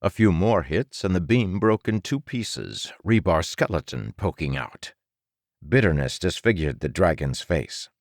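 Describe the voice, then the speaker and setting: A man narrating fiction for an audiobook in a dramatic, measured manner. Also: deep voice, animated, dry recording, studio quality.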